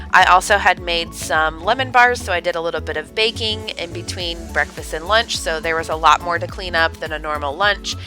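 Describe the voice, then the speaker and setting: A woman's voice: on the low side, pushed and bright. A woman in her thirties with a stuffed-up head cold, chatting casually as she hosts a cleaning vlog. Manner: chatty, upbeat, with fillers.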